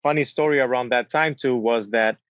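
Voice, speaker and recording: A man's voice: medium pitch, a little fast, no room sound. Man from the Dominican Republic, dull dry sound. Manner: relaxed, many fillers like "uh" and "kinda". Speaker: guest entrepreneur. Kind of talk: casual interview answers.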